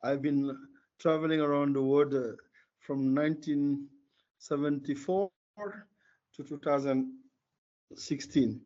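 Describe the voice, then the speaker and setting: An older man from Senegal, a native Wolof speaker with a Senegalese accent, giving emphatic, opinionated interview answers in a husky voice and repeating words for stress. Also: fairly high pitch, flat delivery, slow, long pauses, dark tone. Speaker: interview guest, former sports marketing executive. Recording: dull, lo-fi call audio.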